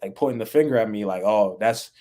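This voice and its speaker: A young man talking casually as a podcast guest, relaxed and full of fillers, raised in the American Midwest. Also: fairly low pitch, quick pace. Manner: relaxed, laid-back, many fillers like "like" and "you know". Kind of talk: casual interview chat.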